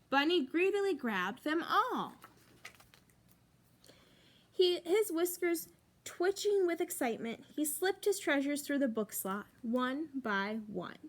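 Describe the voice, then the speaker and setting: A woman with a high voice reads a picture book aloud to young children. Her pitch swings widely, and she leans on pauses to shape each phrase. She sounds like a practised storytime reader. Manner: expressive, emphatic pauses for young listeners. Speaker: librarian reading a storytime.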